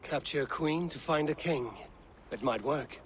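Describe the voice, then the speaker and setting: A man's voice: fairly high pitch, moderate pace, a little hiss or hum, natural voice. A male actor voicing a level-headed Viking raider in a video game, terse and commanding. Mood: measured. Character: stern, level-headed Viking raider, a seasoned warrior man. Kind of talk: dramatic video game acting.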